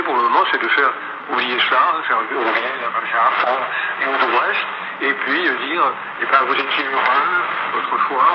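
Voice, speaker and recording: A man giving unscripted political analysis as a guest commentator, speaking in a hesitant, halting way. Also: medium pitch. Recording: noisy muffled shortwave radio reception, echoey.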